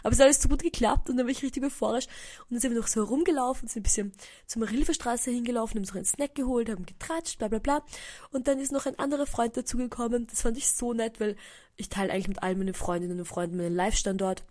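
A young woman with an Austrian German accent rattles along quickly in a casual solo podcast. Her nose is blocked from a cold, so her voice sounds congested, and she rambles chattily, dropping in English words here and there. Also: on the high side, darkish tone. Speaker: podcast host. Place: indoors at home.

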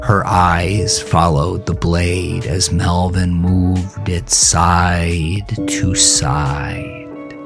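A man's voice: sits low, creaky. A man narrating a scripted horror story as a dramatic reading. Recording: music bed behind narration.

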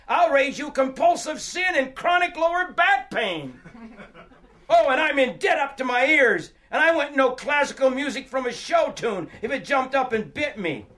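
A man voicing a boastful, good-humored misfit who calls out loud challenges in a story. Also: very high-pitched, voice pushed up, exaggerated voice.

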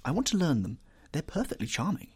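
A man voicing a charming, petulant young gentleman who speaks eagerly. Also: on the high side, very animated, fairly quick, slightly rough, no room sound, voice pushed up, heavily acted. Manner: eager, pleading.

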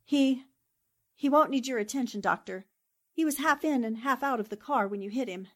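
A woman acting a plucky, quick-witted newspaperwoman in a 1940s-style radio drama, earnest and emphatic. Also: fairly high pitch, lightly acted. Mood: urgent, concerned.

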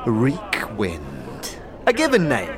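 A man's voice: lowish voice, wildly swooping pitch, slow pace, full character voice. An actor playing a twitchy, secretive male beggar storyteller in a fantasy audio drama, repeating his words and chuckling wheezily. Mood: furtive, wary.

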